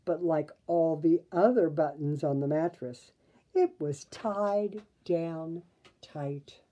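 A woman in her sixties reading a children's storybook aloud in an expressive, deliberate way. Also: low-pitched, muffled recording. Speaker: storybook reader. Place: small bedroom at home.

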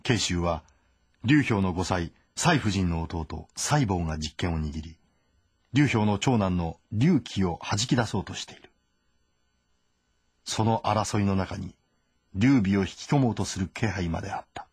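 A man's voice: sits low, lively intonation, unhurried, breathy edge, no room sound, exaggerated voice. A man giving solemn scripted narration for a historical game story.

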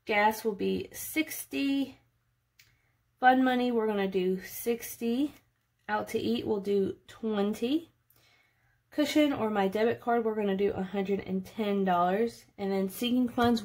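A woman's voice: mid-range voice, unhurried. Female vlogger, late 20s, mid-range voice, casual and chatty, thinks aloud while walking viewers through a task. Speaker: budgeting vlogger. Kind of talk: casual narrated budget walkthrough.

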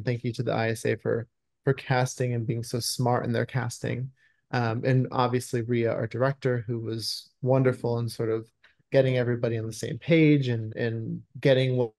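A man's voice: medium pitch, a little mellow. A man in his thirties giving a conversational interview over a video call, effusive and thoughtful as he searches for his words. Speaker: screenwriter being interviewed. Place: indoors in a room at home, on a video call. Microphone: computer video-call microphone.

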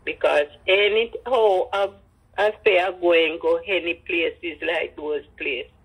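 A woman's voice: on the low side, fairly even intonation, unhurried, breathy edge, low-quality recording. An older woman with a Jamaican accent telling personal stories in patois, acting out the dialogue. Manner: speaks in patois, acts out dialogue. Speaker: grandmother telling her life story. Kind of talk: personal storytelling.

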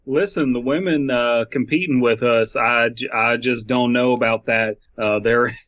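A man playing a chauvinist cornhole champion who justifies himself haltingly in a comedy podcast skit. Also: mid-range voice, fairly even intonation, a bit bright, dull audio, natural voice. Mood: defensive, halting. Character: hesitant, chauvinist male cornhole champion being interviewed.

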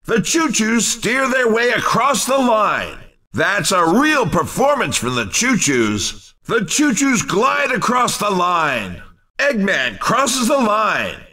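An actor playing an excitable, upbeat male race commentator in a video game, calling out in a punchy, exclamatory style. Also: sits high, distant mic, full character voice. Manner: exclamatory, punchy announcer delivery.

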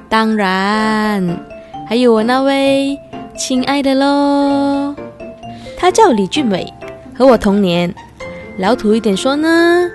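High-voiced, playful young student played by a woman. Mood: chatty, fond. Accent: Malaysian Chinese. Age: young adult. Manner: coy, teasing, particle-heavy colloquial speech. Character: bubbly, playful 23-year-old female university student in love. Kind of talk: radio drama acting.

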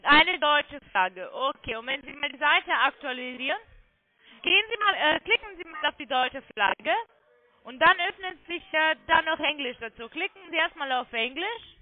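High-pitched woman on a phone line. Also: lively intonation, normal speed. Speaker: phone sales agent claiming to be technical support. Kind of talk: phone sales call, step-by-step instructions.

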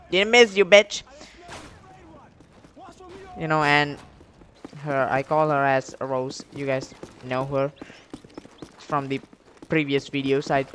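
A man's voice: fairly high pitch, sharp tone, slight background noise. A young man casually telling a story while commentating on a game, rambling in slang with heavy swearing. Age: young adult. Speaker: gaming video creator telling stories over gameplay.

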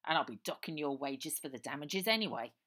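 A surly, heavyset pub landlord from a storybook, as a woman reads him barks in a lowered, gruff voice. He is dismissive and curt. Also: expressive, a little fast, fairly bright tone. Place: small room indoors.

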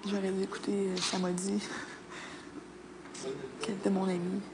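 A young woman with a Quebec French accent, tearful, softly confiding in a house living room, recorded with a lapel mic. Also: medium pitch, little pitch movement, slow. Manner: soft, emotional confiding. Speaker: reality show contestant. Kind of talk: casual chat. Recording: noisy hidden-camera room audio.

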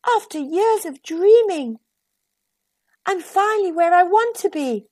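An earnest storybook scarecrow played by a woman, high and very lively in pitch. He is a dreamer, excitable and given to exclaiming. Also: a little slow, no echo. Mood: awed. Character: longing, excitable male scarecrow dreaming of flight.

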